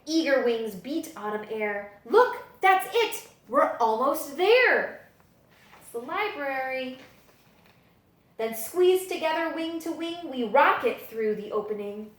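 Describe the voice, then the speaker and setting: Lady librarian doing kids story time, higher voice, really swoopy and expressive, echoey room. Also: takes it slowly. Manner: dramatic, animated storytelling delivery. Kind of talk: expressive children's picture book read-aloud.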